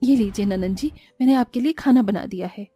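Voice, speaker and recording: A woman's voice: medium pitch, brisk, warm tone, husky, lo-fi sound, soft background music, close to an everyday voice. A woman voicing an overworked, long-suffering young housewife in a cartoon moral story, plaintive and dutiful.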